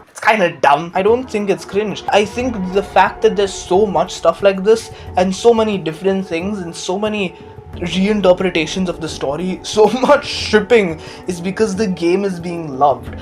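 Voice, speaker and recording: A man's voice: sits high, darkish tone. A man giving a casual, opinionated commentary in a rambling, emphatic way with jokey asides. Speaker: video commentator.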